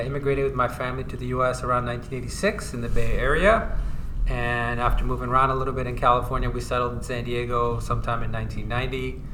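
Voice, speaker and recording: A man in his forties, born in Iran, answering interview questions about his legal aid tax work in an earnest, steady way with frequent fillers. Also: medium pitch. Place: conference venue. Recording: echoey room with clear background noise.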